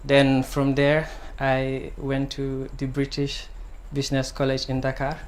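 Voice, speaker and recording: A young man from the Gambia answers questions in a low-key, hesitant way, pausing often to gather his thoughts. His pitch stays flat and even and rarely rises. A faint ambient hum sits behind his voice. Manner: soft-spoken, hesitant with many ums. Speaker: guest singer-songwriter. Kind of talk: casual interview answers.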